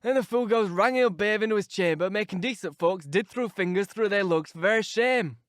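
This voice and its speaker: A man's voice: sits high, brisk, no room sound, studio quality, natural voice. A man doing a grumbling old servant. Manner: gossipy, grumbling. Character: old, grumbling manservant from the moors.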